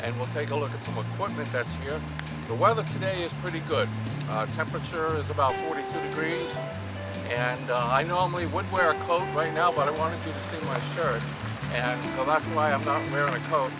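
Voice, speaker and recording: A man hosts a casual on-location piece outdoors. His voice sits a little higher than usual for a man and he talks in a plain, conversational way. Music is loud behind him. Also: brisk, rough recording. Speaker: host and interviewer. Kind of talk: casual on-location interview and introduction. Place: outdoors at a busy swap meet.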